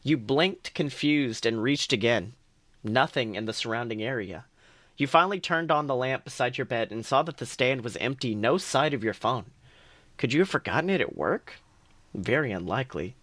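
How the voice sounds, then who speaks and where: A man's voice: medium pitch, animated, moderate pace, a bit bright, dry recording. A man co-hosting a podcast, reading second-person fan fiction aloud earnestly, with small stumbles and restarts.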